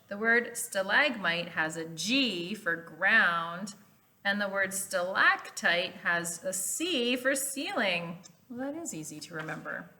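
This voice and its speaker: Bright-voiced woman reading to children. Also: medium pitch. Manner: expressive, instructive, pausing to explain words. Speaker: teacher reading aloud to children. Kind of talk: animated children's picture book read-aloud with explanations.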